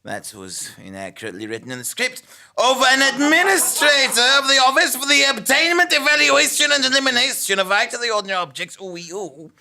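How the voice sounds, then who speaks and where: Bumbling official hero: extremely high, gravelly strain, melodramatic and pompous, audio comedy. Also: male voice, very animated, bright, forceful tone, exaggerated voice. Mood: straining, officious. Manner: overwrought, halting, comically officious. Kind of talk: theatrical comic audio drama.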